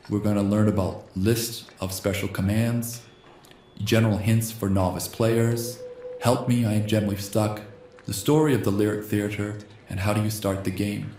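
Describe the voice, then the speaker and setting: A slightly hoarse man hosting a gaming channel, reading a text adventure game aloud in a soft ASMR style and speaking the punctuation marks. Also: lowish voice, reverberant, slight background noise. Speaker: host.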